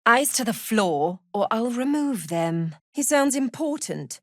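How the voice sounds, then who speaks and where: A woman playing a cruel, zealous cult prison warden in a fantasy video game, haughty and sardonic, with measured, threatening delivery. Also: a little high, sing-song, a bit bright, no room sound, studio quality, exaggerated voice. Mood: menacing. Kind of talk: video game character voice acting.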